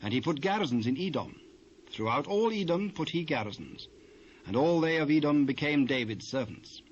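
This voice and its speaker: A man with a British accent narrating scripture in a formal, measured way. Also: a little high, animated. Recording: dull, faintly noisy old cassette transfer.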